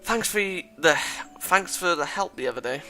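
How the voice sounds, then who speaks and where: A man reading as a gentle, polite blind young woman, speaking softly and courteously in a measured way over faint music. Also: high-pitched, lively intonation, full character voice.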